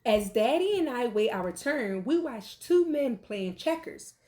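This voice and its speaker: A woman with a fairly high voice reads a children's story aloud in an expressive, teacherly way. She takes her time, animating the narration and pausing to put questions to young listeners. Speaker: teacher reading a picture book aloud.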